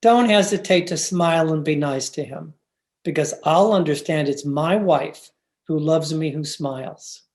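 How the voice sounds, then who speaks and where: An actor playing a gentle, adoring young gentleman in a radio drama, tender, earnest and reassuring. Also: mid-range voice, unhurried, natural voice. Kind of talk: old-time radio drama acting.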